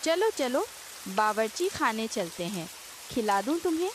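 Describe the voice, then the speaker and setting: A nagging village wife from a cartoon tale, voiced by a woman, speaks in a fairly high voice with lively swings. She is bossy, homely and insistent. Rain and hiss run behind her. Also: a little slow. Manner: insistent, scolding. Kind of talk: cartoon story dialogue acting. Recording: hiss with rain and sizzle noise.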